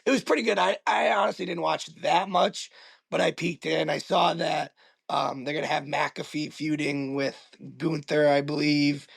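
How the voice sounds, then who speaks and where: A hoarse man with a raspy, gravelly voice chats about sports on a podcast. He sounds enthusiastic and teasing, and slightly high for a man. The sound is dry and close. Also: steady tone, moderate pace, fairly bright tone, no echo. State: hoarse from yelling. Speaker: podcast co-host. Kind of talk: casual sports chat.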